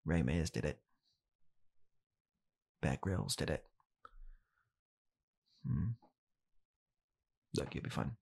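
A young British man in a hushed, halting, deadpan comedic ASMR roleplay, playing a bitter, weary father coldly blaming his child. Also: extremely low pitch, vocal fry, husky. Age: young adult. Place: small home room, indoors.

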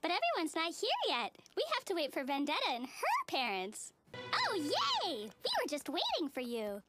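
A woman voicing a relentlessly cheerful, naive, sweet little girl in a TV cartoon, squeaky and gushing, with an innocent sing-song manner. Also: huge pitch swings, normal speed, heavily acted. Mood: bubbly. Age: child character. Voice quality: squeaky, girlish. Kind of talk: animated TV cartoon acting. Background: playful children's music.

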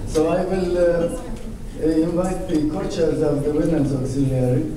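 A man's voice: a little high, fairly even intonation. An older man as emcee giving formal banquet remarks at a podium, reading with small stumbles, recorded from across a large hall. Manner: measured, reads from a page with small stumbles. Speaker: event emcee. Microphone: podium microphone heard from a far camera. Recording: echoey hall, far-off mic, room noise.

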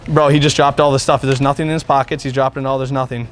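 Male prankster, twenties, American, brisk conversational delivery, average pitch. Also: flat delivery, a little hiss or hum. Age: 20s. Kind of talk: casual chat.

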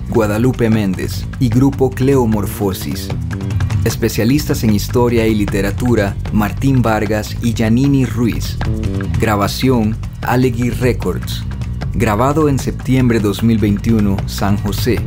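A male announcer. He reads in a scripted radio credits style, with music clearly playing behind him. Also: lowish voice.

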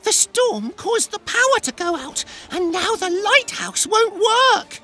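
A woman's voice: extremely high pitch, very animated, slow pace, bright, forceful tone, faint music behind, exaggerated voice. A woman voicing an anxious, flustered little sailboat in a children's cartoon, with a fretful, pleading way of talking. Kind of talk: animated children's cartoon acting.

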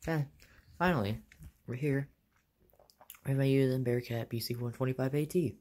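A man's voice: mid-range voice, normal speed, mellow. A young man with an American accent casually narrates a show-and-tell in short, offhand phrases, recorded on a handheld phone in a bedroom. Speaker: hobbyist video maker. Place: small carpeted bedroom, indoors. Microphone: handheld phone behind the camera.

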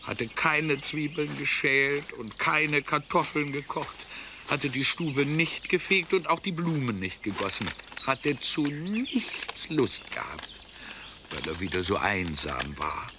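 A man narrating a children's story expressively, with deliberate, dramatic pauses. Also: medium pitch, lively intonation. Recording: clearly noisy soundtrack.

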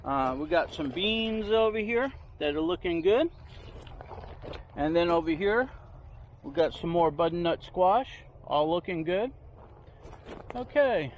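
A man's voice: high voice, lively intonation, slight background noise. A man giving a casual, rambling tour of his backyard garden, recorded outdoors on a handheld phone. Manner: rambling, unhurried, points things out as he walks.

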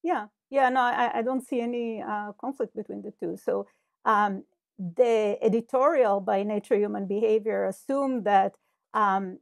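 A woman's voice: mid-range voice, unhurried, vocal fry, no room sound, clean studio sound. A middle-aged woman, a Hebrew speaker, explaining her research thoughtfully over a video call with earbud headphones. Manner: thoughtful, explanatory, many fillers. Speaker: cognitive scientist guest. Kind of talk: interview conversation. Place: home office with bookshelves. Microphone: earbud headset over video call.

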